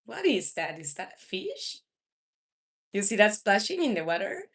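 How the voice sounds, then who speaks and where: A woman's voice: medium pitch, very animated, normal speed, husky. A woman in her thirties from Mexico, a native Spanish speaker with a Mexican accent, chatting casually with viewers as a livestream host. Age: 30s. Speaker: video game live streamer.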